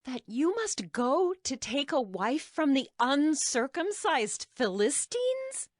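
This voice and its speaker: A woman's voice: sits high, sing-song, no room sound, clean studio sound, exaggerated voice. An actress playing an older mother in a dramatized audio Bible, dismayed and incredulous. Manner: incredulous, drawn-out questioning.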